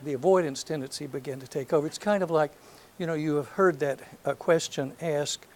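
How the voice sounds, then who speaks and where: An older man from North Alabama with a soft voice, giving a reflective lecture to an audience, hesitant and full of ums, with gentle self-deprecating humor. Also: on the high side, a little fast, slight background noise. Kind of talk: last lecture, reminiscence. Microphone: handheld or podium microphone.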